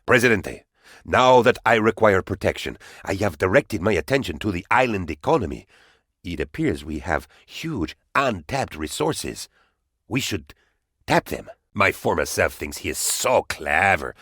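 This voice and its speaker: A man's voice: medium pitch, a bit bright, breathy edge, no echo, clean studio sound, heavily acted. A man playing a pompous, bumbling and self-important presidential aide in a video game, speaking in a melodramatic, theatrical way.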